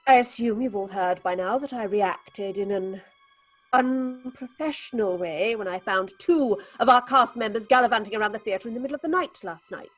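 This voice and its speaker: A woman acting in an audio horror drama as a proud, haunted theatre director, husky and commanding in a clipped, theatrical way. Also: mid-range voice, normal speed, soft background music, natural voice. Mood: icy composure. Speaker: actress.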